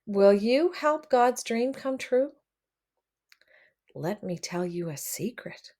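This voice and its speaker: A woman reading a picture book aloud to children, expressive and addressing them directly as she comments along. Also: on the high side, slow pace. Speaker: read-aloud storyteller for children.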